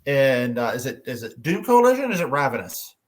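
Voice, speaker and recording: A man with a middle-pitched voice hosts a casual panel. He speaks informally, in a hesitant, halting way as he steers the conversation. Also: big pitch swings, a crowd in the background. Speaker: host of a fan panel.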